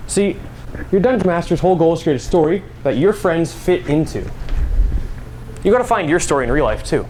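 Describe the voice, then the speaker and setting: Young man, slightly high voice, informal public speech, playful storyteller, conversational, faint background noise. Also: animated, brisk, a little mellow. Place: live talk before an audience at a school. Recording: noisy live recording, background ambience.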